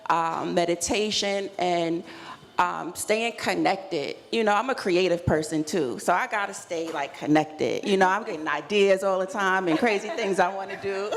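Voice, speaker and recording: A woman's voice: on the low side, moderate pace. A woman speaking as a panelist in an earnest, affirming, reflective way. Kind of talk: reflective panel talk on self-care. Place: live panel event in a room. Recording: reverberant room with clear background noise.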